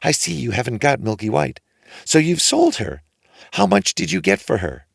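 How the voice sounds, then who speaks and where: A man's voice: mid-range voice, big pitch swings, normal speed, creaky, no room sound, clean studio sound, voice pushed up, full character voice. A man voicing a poor, hot-tempered widowed mother in a storybook, fretful and given to indignant exclamations. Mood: eager, expectant. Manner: exclaiming, repeating phrases indignantly. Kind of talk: storybook character reading.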